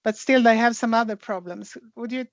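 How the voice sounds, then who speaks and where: Woman moderator, slightly high voice. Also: a little fast, no echo. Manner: prompting, brief questions. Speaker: panel moderator. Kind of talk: academic panel discussion.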